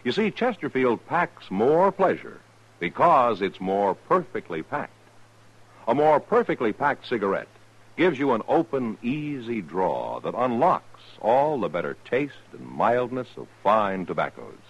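An American man reading a 1950s radio commercial in a conversational, emphatic announcer style with a husky voice. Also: medium pitch, very animated, a little slow. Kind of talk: 1950s radio advertising read. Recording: dull vintage radio recording.

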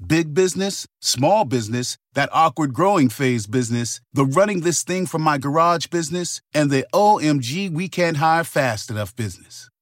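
A man reading a business software ad in a bright, friendly pitch. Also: no room sound, studio quality. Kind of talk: ad read.

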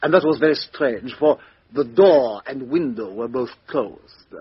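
An actor playing a fussy, precise middle-aged Belgian detective, in 1950s radio drama style. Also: male voice, medium pitch, measured pace, slightly rough, no room sound. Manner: precise, theatrical, drops to a hush. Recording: dull old radio recording.

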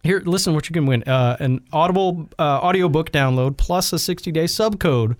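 A man's voice: fairly high pitch, a little fast, no echo, studio quality. A man co-hosting a gaming podcast, chatting casually and laughing often. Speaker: podcast co-host. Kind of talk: casual gaming chat and announcements.

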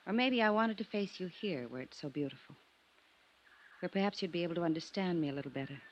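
An actress playing an alluring, guarded, melancholy femme fatale in a 1950s radio drama, with a soft, measured delivery. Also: female voice, fairly low pitch, lively intonation, lightly acted. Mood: wistful, guarded. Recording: muffled, noisy old radio recording.

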